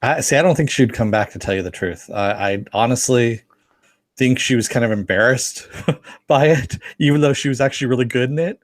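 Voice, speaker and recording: A man's voice: medium pitch, a little fast, no echo, clean studio sound. A man co-hosting a podcast, chatting casually and reading out listener comments. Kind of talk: casual fan podcast discussion.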